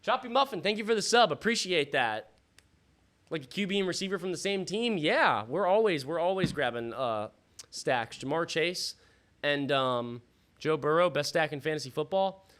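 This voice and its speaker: Guy streamer with kinda high voice, bit worn out from talking, casual sports chat, swears, thinks out loud. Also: animated, normal speed. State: losing his voice. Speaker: livestream host. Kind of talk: casual live fantasy football draft commentary with chat shout-outs.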